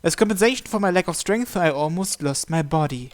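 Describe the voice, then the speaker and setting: A man's voice: a little high, lively intonation, fairly quick. A man hosting a visual novel playthrough, reading the first-person narration aloud.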